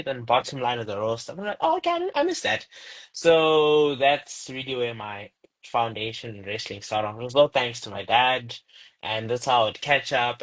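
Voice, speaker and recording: A man in his twenties from Zimbabwe, a guest telling stories in a casual interview chat over a video call, with big lively pitch jumps. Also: poor audio. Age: 20s.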